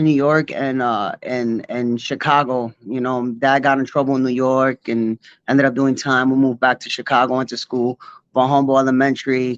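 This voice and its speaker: Male guest, forties, from Puerto Rico; rambling, filler-heavy storyteller; mid pitch; dry, slightly muffled audio. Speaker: guest b-boy. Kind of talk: casual interview chat.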